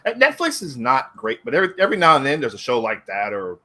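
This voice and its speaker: A man's voice: medium pitch, wildly swooping pitch, fast talker, pushed and bright. A man chatting casually as a panelist on a movie talk show, speaking in an enthusiastic, digressive way. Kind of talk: casual rambling chat.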